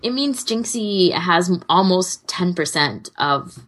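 A woman's voice: lowish voice, lively intonation, slow, noisy background. A woman co-hosting a podcast in casual, conversational chat. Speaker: podcast co-host.

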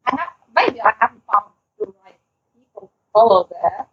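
A woman's voice: medium pitch, big pitch swings, moderate pace, breathy edge, narrow, tinny audio. A woman co-hosting a podcast in casual chat, hesitant and searching for her words. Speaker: podcast co-host.

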